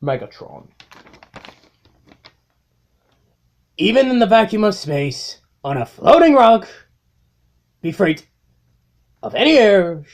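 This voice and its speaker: A man acts out a tyrannical robot warlord with a gravelly, creaking edge. The delivery is slow and grandiose, swinging widely in pitch like a comic-book villain giving a monologue, with sardonic mutters in between. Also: heavily acted. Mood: weary, disdainful. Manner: theatrical, halting monologue with muttered sardonic asides. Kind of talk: amateur comic dub acting.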